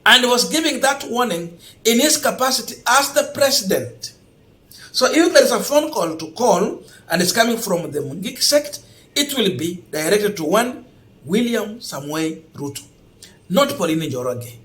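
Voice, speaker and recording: Kenyan guy doing political commentary for his online channel, pretty high voice, really stresses and repeats his points. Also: sing-song, normal speed, a bit bright. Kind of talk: political news commentary to camera.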